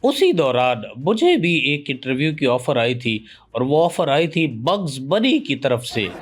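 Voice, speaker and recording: A man with a Pakistani Urdu accent playing a pompous, boastful veteran journalist guest in a comedy sketch, with deadpan boasts. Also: mid-range voice, measured pace. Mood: mocking. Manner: deadpan boasts, breaks into sung lines.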